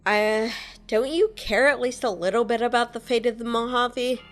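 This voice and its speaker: A woman's voice: fairly high pitch, normal speed, soft background music, natural voice. Woman voicing an earnest, curious wanderer. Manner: inquisitive, earnest questioning. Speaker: player character. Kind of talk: fan-voiced game dialogue.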